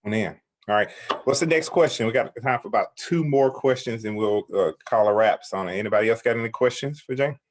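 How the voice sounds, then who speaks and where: A man hosting a casual online session, relaxed and conversational. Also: lowish voice, a little fast. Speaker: host.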